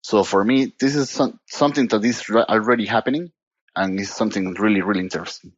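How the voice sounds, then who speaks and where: A low-voiced man with a Costa Rican Spanish accent, speaking English as a second language in a relaxed podcast chat. He stops and restarts his phrases often and slips in "you know". The sound is dull and dry, like a lo-fi remote connection. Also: no echo. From Costa Rica. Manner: halting, frequent restarts and "you know", laughs easily. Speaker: guest data platform expert. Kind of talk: casual podcast interview.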